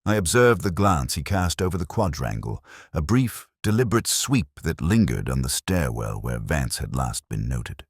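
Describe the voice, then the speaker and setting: A man reading a scripted literary detective story in the first person, with a measured, formal, reflective delivery. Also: extremely low pitch, big pitch swings, slightly rough, no room sound, studio quality. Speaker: audiobook-style detective story narrator.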